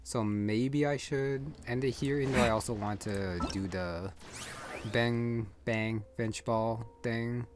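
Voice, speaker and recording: A man's voice: mid-range voice, steady tone, takes it slowly, noisy background. A man giving casual, hesitant game playthrough commentary, rambling and thinking aloud as he goes. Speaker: gaming video creator.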